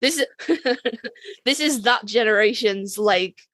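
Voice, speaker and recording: A woman's voice: medium pitch, slow pace, fairly bright tone, no room sound. A woman in her thirties co-hosting a podcast with casual, playful, exclamatory banter. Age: 30s. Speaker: podcast co-host.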